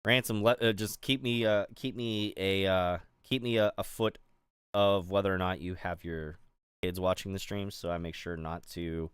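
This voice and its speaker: A middle-aged man from the Pittsburgh area chats loosely on a stream. His low voice is smooth and resonant, and the sound is close and dry. He rambles, restarts and fills pauses as he goes. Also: fairly even intonation, dry recording. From Pittsburgh area, USA. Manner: rambling, chatty, many fillers and restarts. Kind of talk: casual chat while building a toy set.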